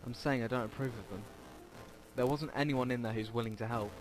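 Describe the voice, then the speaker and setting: A man's voice: medium pitch, steady tone, a little fast, low-quality recording. A man doing casual let's play narration, reading a visual novel aloud informally and stumbling over words now and then. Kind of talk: casual read-aloud game narration with asides. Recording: music behind the voice. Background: game music.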